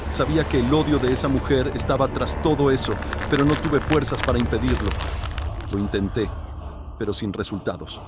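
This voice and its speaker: A man voicing an anguished, horrified man in a horror audiobook, confessing a killing in a hoarse, intense, dramatic way. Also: medium pitch, fairly quick, very noisy, lightly acted. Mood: horrified, desperate.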